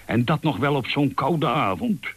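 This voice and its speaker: A man's voice: mid-range voice, expressive, normal speed, a bit bright, slightly rough, pitched above the performer's own voice. A man doing a fussy, kindly storybook owl. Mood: puzzled.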